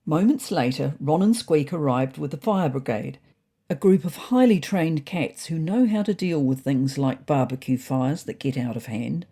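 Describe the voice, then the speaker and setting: A woman narrating a storybook diary as a fussy, indignant male house cat, in a wry, prim, deadpan manner. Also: sits low, normal speed, slightly rough, heavily acted. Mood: wry, matter-of-fact. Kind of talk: first-person storybook narration.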